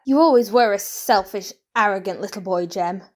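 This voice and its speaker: A woman's voice: mid-range voice, moderate pace, close to an everyday voice. A woman acting a scolding, wounded aunt turned murderer in a comic drama, theatrical and indignant.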